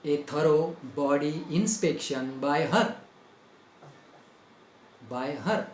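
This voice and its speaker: A man's voice: on the high side, slow, echoey room, slight background noise. A man with an Indian English accent teaching a grammar lesson. He repeats phrases for dictation and spells words out letter by letter.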